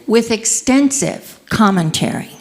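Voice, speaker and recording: A woman's voice: a little high, lively intonation, a little slow, a little mellow, a little hiss or hum. A woman taking a part in a theatrical group reading of scripture. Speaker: reader in a group dramatic reading.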